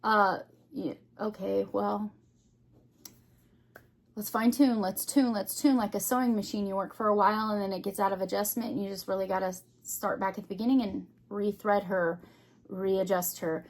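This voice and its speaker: A woman giving an unscripted, casual hobby vlog, thinking aloud in short, halting phrases. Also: mid-range voice, steady tone. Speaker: craft vlogger.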